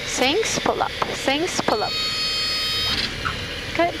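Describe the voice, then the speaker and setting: A woman's voice: high voice, sing-song, very slow. A woman working as a flight instructor, giving encouraging, reassuring hands-on directions during a flight. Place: inside small airplane cockpit in flight. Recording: loud aircraft engine noise, cockpit intercom.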